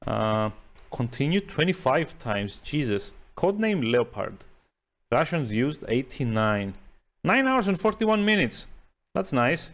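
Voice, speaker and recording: Slow-talking, hesitant male game streamer. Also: medium pitch, big pitch swings, a bit bright. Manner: hesitant, rambling, dryly sarcastic. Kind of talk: casual live-stream commentary.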